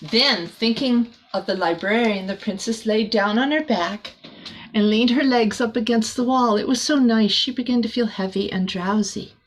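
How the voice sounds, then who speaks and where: A woman's voice: on the high side, moderate pace, rough recording. A woman reading a children's picture book aloud expressively, adding small chatty asides for a young listener. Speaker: storybook reader.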